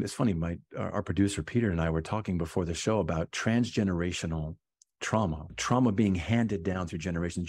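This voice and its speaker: A man's voice: low-pitched, darkish tone, breathy edge, no echo, clean studio sound. A man hosting a podcast interview, affirming and reflective, often restarting his sentences. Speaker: podcast host.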